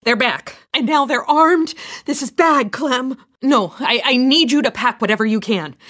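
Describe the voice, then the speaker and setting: A woman's voice: high-pitched, normal speed, a bit bright, no echo, studio quality. A woman voicing a kindly, apologetic survivor camp gatekeeper in a video game, with a folksy, motherly, hesitant manner. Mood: panicked, urgent. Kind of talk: dramatic video game dialogue.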